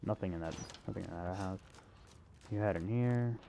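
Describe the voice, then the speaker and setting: A man's voice: deep voice, moderate pace, gravelly, noisy background. A man giving a casual, unscripted video game walkthrough in an offhand, self-deprecating way. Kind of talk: casual unscripted gameplay commentary.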